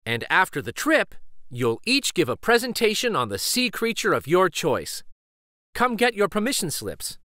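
A man's voice: high voice, wildly swooping pitch, moderate pace, sharp tone, no echo, studio quality, pitched above the performer's own voice, full character voice. A man voicing a kind but firm woman schoolteacher in a storybook, with an encouraging manner.

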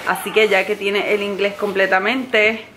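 A woman's voice: mid-range voice, flat delivery, bright, forceful tone, a little hiss or hum. A woman in her thirties talking casually to the camera at home as a mom vlogger, filming herself up close with a handheld camera. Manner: quizzing her toddler in slow, drawn-out questions. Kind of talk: casual home vlog chat. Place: living room at home. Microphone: handheld camera close to face.

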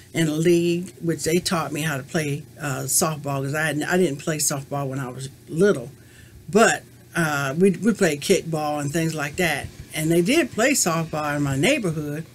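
Fifties lady vlogging at her home webcam, chatty and rambly, little bit of background hum. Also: low-pitched. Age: 50s. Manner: rambling and reflective, many "you know" fillers. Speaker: crochet vlogger answering a tag. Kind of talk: casual chat to camera. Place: small room at home, indoors. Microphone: webcam at desk distance.

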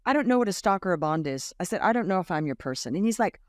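Animated woman storyteller on a video call. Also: lowish voice, racing, no room sound, clean studio sound. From Australia. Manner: animated storyteller, acts out quoted voices, laughs often. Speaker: guest. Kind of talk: casual interview storytelling. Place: home room with bookshelf. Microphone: large studio mic on boom arm, video call.

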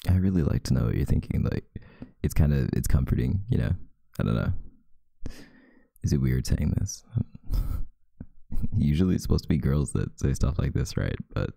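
A young man doing an intimate ASMR boyfriend roleplay as a sleepy, affectionate, teasing partner in bed, murmuring softly and drowsily close to the microphone. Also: a bass voice, sing-song, moderate pace, dry recording. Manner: soft, drowsy murmuring, partly breathy and whispered. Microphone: close microphone, dry sound.

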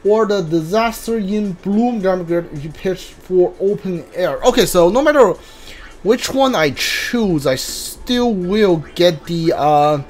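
Slow, halting gamer muttering over game music. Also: mid-range voice, darkish tone. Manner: hesitant, halting, muttering to self. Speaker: gamer streaming a playthrough. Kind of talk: casual gameplay commentary. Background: video game music.

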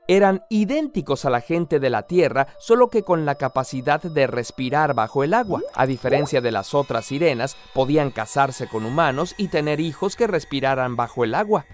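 A young adult man giving scripted educational narration in a lively, clear storytelling way. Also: on the high side.

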